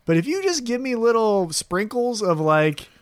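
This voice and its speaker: A man co-hosting a wrestling podcast, talking in a blunt, profane, animated way. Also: high-pitched, normal speed, no room sound. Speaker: podcast co-host. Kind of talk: casual wrestling chat.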